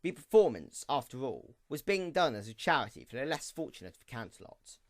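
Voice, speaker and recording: A man's voice: medium pitch, big pitch swings, a little fast. A man reading scripted story narration for an audio drama.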